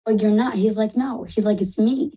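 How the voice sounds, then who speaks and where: New York woman, live psychic call-in host, quick and affectionate, soft dark voice. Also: mid-range voice, breathy edge, muffled recording. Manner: fast-talking, reassuring, affectionate.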